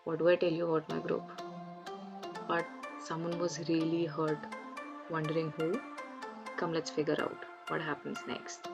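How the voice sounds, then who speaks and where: A woman's voice: sits low, monotone, normal speed, creaky, pauses often. A woman from Delhi, a student in a group project, who calls her noisy group to order and then speaks to the viewer. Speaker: student group member and narrator. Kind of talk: student role-play video. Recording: dull lo-fi audio with faint music.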